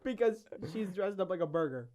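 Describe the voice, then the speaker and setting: A man's voice: high voice, expressive, fairly quick, darkish tone. A young man co-hosting a podcast, chatting casually with a slangy, teasing, joking manner. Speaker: podcast co-host.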